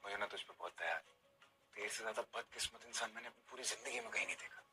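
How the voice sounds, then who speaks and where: A man's voice: fairly low pitch, fast talker, loud music behind the voice, close to an everyday voice. An actor playing a smug, mocking rich young man in a dramatized audio story. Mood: mock pity. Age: young adult.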